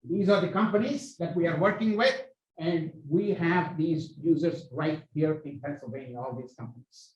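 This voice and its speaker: A man moderating an academic panel, presenting research in halting, repeated phrases. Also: fairly high pitch, dull audio. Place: university hall. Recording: echoey hall, distant mic.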